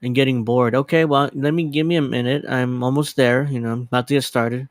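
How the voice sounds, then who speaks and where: Casual streamer, man, late 30s, mid-pitched, rambly and self-correcting, dry close desk mic. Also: fairly even intonation, a little fast, no echo. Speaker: livestream host. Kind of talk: casual livestream chat. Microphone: desk USB microphone.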